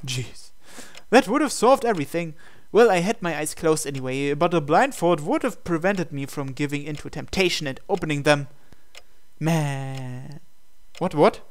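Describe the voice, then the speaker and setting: A man's voice: a little high, lively intonation, moderate pace. A man reading a visual novel aloud as a gamer, breaking into casual, incredulous asides. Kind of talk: game playthrough narration with casual asides.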